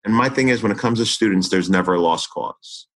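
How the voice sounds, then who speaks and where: A man in his forties speaks in a very low, deep voice. He talks earnestly and reflectively about his work as a teacher, often restarting his thoughts mid-sentence. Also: a little fast. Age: 40s. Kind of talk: interview conversation.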